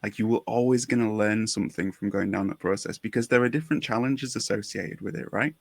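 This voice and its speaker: Low-voiced man hosting, dry close sound. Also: fast, gravelly, no echo. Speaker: podcast host. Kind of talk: casual reflective conversation.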